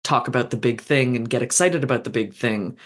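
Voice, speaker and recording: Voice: mid-range voice, brisk. Thoughtful rambling podcast guest. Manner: thoughtful, rambling with restarts and fillers. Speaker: fanfiction author, guest. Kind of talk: casual podcast conversation.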